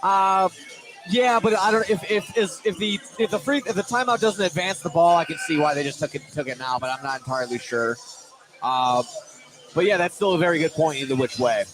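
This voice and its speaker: A man's voice: a little high, a little fast, over music, poor audio. A man doing live radio play-by-play of a basketball game, often restarting and repeating words for emphasis. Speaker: play-by-play sports announcer. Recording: loud gym noise behind. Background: loud game ambience.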